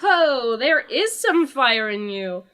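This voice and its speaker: A woman's voice: sits high, very animated, slow, a bit bright, heavily acted. A woman playing a bored, sardonic god who hosts a talk show in a comedic audio drama, with a sarcastic, theatrical, teasing delivery.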